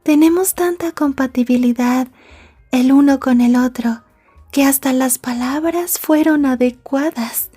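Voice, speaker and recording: A woman playing a possessive, polite young yandere girlfriend in an ASMR roleplay, speaking in a prim, wordy and softly menacing way. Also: high voice, measured pace, breathy edge, soft background music. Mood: sweet, smitten. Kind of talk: Spanish-language ASMR roleplay acting.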